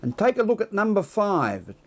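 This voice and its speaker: An Australian man reads local news and weather in a fairly high voice. His delivery is scripted, with casual asides dropped in along the way. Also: wildly swooping pitch, moderate pace. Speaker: news presenter reading the weather.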